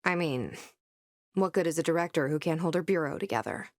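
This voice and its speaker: A woman's voice: low voice, fairly quick, no room sound, studio quality. A woman playing a young, determined bureau director in a video game, wry, intent and self-questioning. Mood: resolute, reflective. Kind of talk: video game dialogue and inner monologue.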